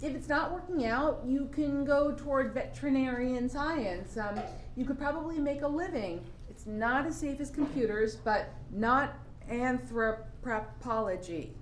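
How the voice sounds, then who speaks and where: A woman's voice: high-pitched, measured pace, hardly any pauses. A woman in a staged reading playing an anxious, practical, nagging mother, insistent and chiding with her college-bound son. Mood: worried, insistent. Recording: roomy live recording with background noise.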